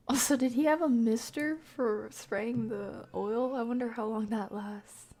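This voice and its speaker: A woman's voice: a little high, steady tone, slow, mellow. A woman hosting a livestream, chatting casually as she reacts to videos and reads out comments. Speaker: livestream host.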